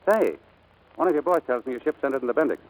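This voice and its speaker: An actor playing a breezy, cocky, footloose pilot in a 1940s radio drama, slangy and offhand. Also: male voice, medium pitch, animated, fast, a little mellow, lightly acted, low-quality recording. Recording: vintage 1940s radio broadcast.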